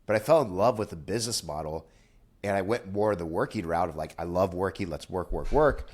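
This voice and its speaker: Young guy w a low stuffed-up nasal voice, chatty vlog about his business, lots of uh and restarts. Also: expressive, brisk, darkish tone, breathy edge. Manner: candid, rambling, frequent fillers and restarts. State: nasal, congested after nose surgery. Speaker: business owner vlogger. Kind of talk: casual direct-to-camera talk.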